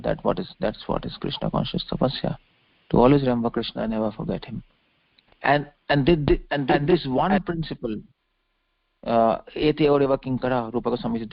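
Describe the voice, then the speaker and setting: A man's voice: mid-range voice, brisk, creaky, no room sound. A man giving an extemporaneous scripture lecture as a preacher, explaining didactically and repeating phrases for emphasis, heard over a conference call. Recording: muffled lo-fi conference call audio.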